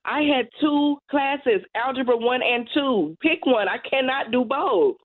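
A woman's voice: high voice, measured pace, no echo. A woman calling a radio show by phone, telling a personal story with emphatic, comic delivery. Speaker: phone-in caller. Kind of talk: animated personal anecdote. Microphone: telephone. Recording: dull, muffled phone sound.

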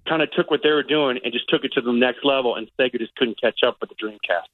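Male radio guest critic, rapid conversational delivery, chatty and opinionated, mid-pitched, dry sound. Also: no echo, phone-quality sound. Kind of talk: casual radio movie review chat.

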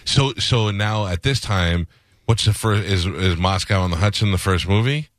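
A man's voice: lowish voice, moderate pace, no room sound, clean studio sound. A man hosting a radio show, asking questions in an easygoing casual interview and laughing along.

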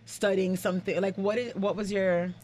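A woman's voice: medium pitch, flat delivery, normal speed, darkish tone. Informal woman hosting, with faint background noise. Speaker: radio show host. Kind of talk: conversational community talk show interview. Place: live radio studio.

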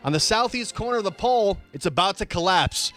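A man's voice: high-pitched, animated, a little fast, fairly bright tone. A man hosting a talk show, reading out quoted reports aloud in an emphatic, announcer-like way. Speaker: radio show host. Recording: music bed behind the voice. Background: music.

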